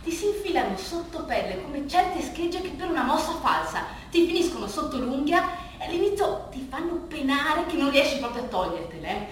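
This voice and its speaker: An actress playing a bittersweet, rambling woman who reminisces in a halting, confiding, repetitive colloquial way, as in a theatre monologue. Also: high-pitched, very animated. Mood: wistful, wry. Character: rambling, bittersweet woman reminiscing about her athletic youth. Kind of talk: theatrical monologue, conversational storytelling. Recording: echoey room, distant mic, faint noise.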